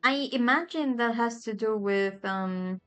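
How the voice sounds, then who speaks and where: Woman streamer thinking out loud over a game, slow n hesitant, clear voice, faint game music. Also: a little high. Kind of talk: casual gameplay commentary.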